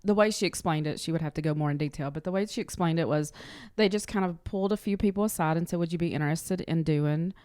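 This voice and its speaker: A woman's voice: sits low, fast talker, few pauses, darkish tone, dry recording, studio quality. A woman speaking as an interview guest, chatting casually and telling small anecdotes. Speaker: interview guest, clinic front office worker.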